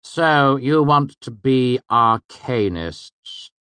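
A man's voice: medium pitch, expressive, extremely slow, a bit bright, clean tone, no room sound, voice pushed up. A man voicing a haughty male magic teacher who speaks with mocking, over-courteous scorn. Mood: scornful.

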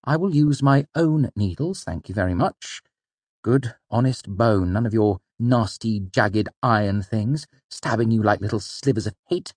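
A man's voice: a little high, animated, moderate pace, a little mellow, no room sound, voice pushed up. A man voicing an indignant, theatrical young male fae creature, fussy and haughty, for a storybook. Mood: haughty, disdainful.

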